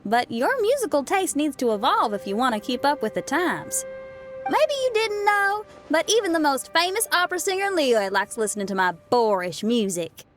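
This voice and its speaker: A woman's voice: sits high, sing-song, normal speed, soft background music. An actress voicing a bold young woman rock musician in a game, confident and cheeky. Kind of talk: game cutscene dialogue.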